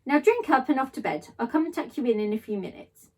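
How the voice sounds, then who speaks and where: A gentle storybook mother acted by a woman reader talks patiently to her child. Her voice sits fairly high and is earnest and tender, picked up a little way from the microphone. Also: animated, fast talker, far from the mic. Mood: gentle, firm.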